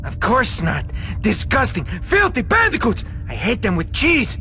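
A man voicing a childish, cowardly henchman with a shrill squeaky voice, shouting and whining in a video game. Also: wildly swooping pitch, unhurried, exaggerated voice. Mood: bratty. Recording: game music behind.

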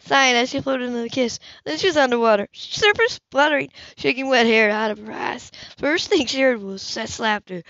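A woman's voice: fairly high pitch, fairly bright tone, dry recording. A woman reading a novel aloud as an amateur narrator, occasionally stumbling over words. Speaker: amateur audiobook reader. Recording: dull, lo-fi home recording.

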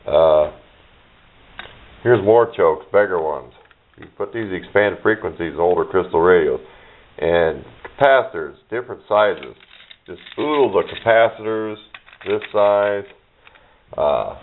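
A man's voice: fairly low pitch, animated, measured pace, long pauses. A middle-aged man giving a casual, unscripted show-and-tell, rambling along in a plainspoken way. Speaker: hobbyist showing his radio parts collection. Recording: muffled narrowband camera audio, noisy, clicks.

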